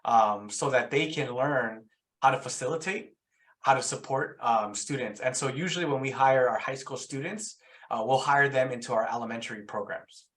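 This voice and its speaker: A man's voice: medium pitch, normal speed, a bit bright. A man talking as a guest speaker on a video-call webinar, hesitant and restarting with fillers. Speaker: youth program guest speaker. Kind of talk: webinar panel talk.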